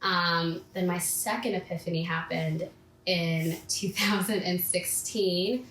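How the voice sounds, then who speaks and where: A woman's voice: fairly low pitch, fairly even intonation, slow pace, a bit bright. A woman in her twenties, a podcast guest, telling her personal story in a casual, chatty way and acting out things she once said to herself. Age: 20s. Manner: chatty, acts out her own past self-talk. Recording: echoey room with faint background hiss.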